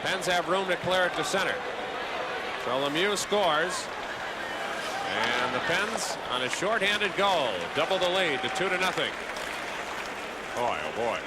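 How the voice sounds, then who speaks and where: A man doing live ice hockey play-by-play as the announcer, excitable on big plays. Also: on the high side, a little slow, pauses often, a loud crowd, heavy background noise.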